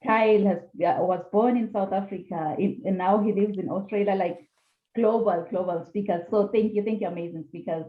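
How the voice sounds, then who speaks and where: A woman's voice: mid-range voice, steady tone, moderate pace. A woman host welcomes her panel with lots of enthusiasm and easy laughter. Her voice comes through an echoey room and a narrow, phone-like line. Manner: enthusiastic, laughs often, repeats words. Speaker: podcast host. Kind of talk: online panel hosting.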